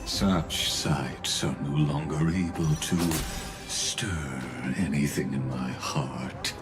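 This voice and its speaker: A male voice actor playing a cold, courtly genius villain in a video game, speaking formally with measured, ominous courtesy. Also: rumbling low, over music. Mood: detached. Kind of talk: scripted video game dialogue.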